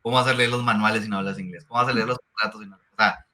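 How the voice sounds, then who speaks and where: A man working as a franchise consultant, hosting a casual interview and talking with frequent restarts that turn into rapid rhetorical questions. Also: lowish voice, steady tone, sharp tone.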